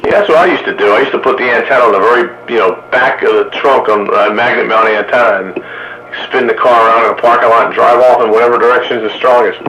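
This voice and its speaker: A man's voice: mid-range voice, fast talker, pushed and bright. Man chatting casually over noisy radio. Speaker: host of a radio hobbyist net. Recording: static noise over radio.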